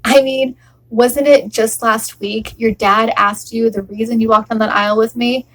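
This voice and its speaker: A woman's voice: on the high side, fairly even intonation, normal speed, fairly bright tone, rough recording. Woman performing a sardonic, heartbroken wife. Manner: sarcastic, forced laughter over near tears. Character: wry heartbroken wife refusing a divorce. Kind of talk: theatrical monologue performance.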